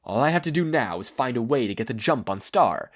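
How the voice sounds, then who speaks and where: A man's voice: medium pitch, very animated, brisk. A man voicing a supervillain mastermind in frantic denial, nervously stammering and reassuring himself in a fan fiction reading. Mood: nervous scheming.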